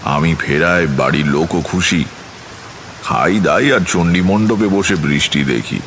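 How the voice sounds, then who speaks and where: A man narrating a scripted horror tale in the first person, in a measured, literary manner. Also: low voice, fairly bright tone, slight background noise. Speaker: storyteller and lead narrator.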